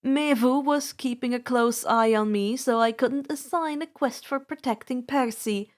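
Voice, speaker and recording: A woman reading fan fiction aloud as an expressive first-person narrator, with a deliberate, dramatic delivery. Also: sits high.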